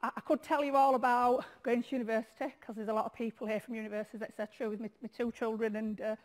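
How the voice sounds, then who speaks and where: A woman's voice: on the high side, fairly even intonation, rapid-fire, a little mellow. A middle-aged woman from Leeds with a Leeds accent, a charity chief executive speaking frankly and with self-deprecating humor at a lectern in a large conference hall. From Leeds, England. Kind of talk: conference keynote talk.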